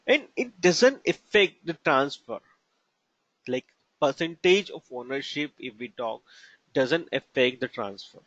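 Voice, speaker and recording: A man with an Indian accent teaching as a trainer, explaining examples haltingly in short, broken phrases. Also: medium pitch, expressive, a bit bright, no echo. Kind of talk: instructional lecture with examples. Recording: dull lo-fi narration, dry.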